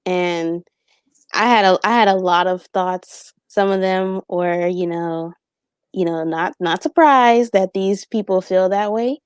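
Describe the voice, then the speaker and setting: A woman's voice: lowish voice, measured pace, smooth, no room sound, studio quality. A young woman on a discussion panel, talking in a hesitant, thoughtful way with many fillers and restarts. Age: young adult. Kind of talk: casual reflective discussion.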